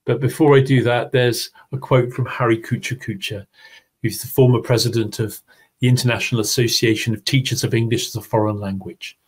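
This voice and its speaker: A man's voice: mid-range voice, fairly even intonation, brisk, husky. Man presenting an online teaching seminar. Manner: explanatory, talks through slides. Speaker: webinar presenter.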